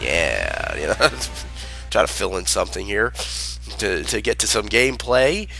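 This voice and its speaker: A man's voice: lowish voice, sing-song, measured pace, gravelly, sharp tone. A man ranting casually about video games as he plays, rambling and swearing heavily. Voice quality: profane, rambling, with fillers. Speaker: gamer ranting over gameplay. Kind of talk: casual profane gaming rant. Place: at home, playing a video game. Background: game music.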